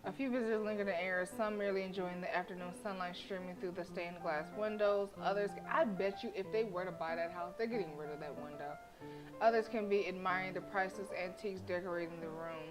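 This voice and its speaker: A woman's voice: on the low side, fairly even intonation, fast, low-quality recording. A woman streaming a game, reading story text aloud with blunt, sassy, casual asides. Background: game music.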